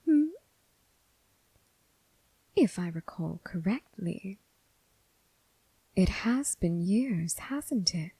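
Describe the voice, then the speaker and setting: A dangerous, seductive lady mob boss voiced by a woman murmurs close and dry into the mic. Her voice is dark and husky, often sinking toward a whisper. She teases with formal, deliberate phrasing, predatory and coolly amused, in an intimate ASMR roleplay. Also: mid-range voice, expressive, dry recording, exaggerated voice, poor audio. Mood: intimate, knowing. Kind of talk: ASMR roleplay, scripted character acting.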